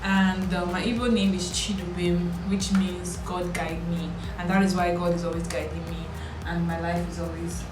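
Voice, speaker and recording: A woman's voice: lowish voice, level tone, moderate pace, few pauses. A woman in her twenties from Lagos with a Nigerian accent, chatting casually and playfully to camera as she answers questions. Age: 20s. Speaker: vlogger. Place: small indoor room with bare walls. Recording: roomy echo, distant mic, background music.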